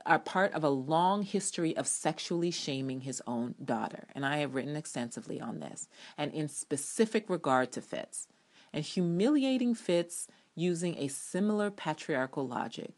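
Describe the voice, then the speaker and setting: Female reader, low pitch, delivering sardonic TV commentary as a dramatic, emphatic essay reading. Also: darkish tone. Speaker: TV drama blogger reading own review aloud.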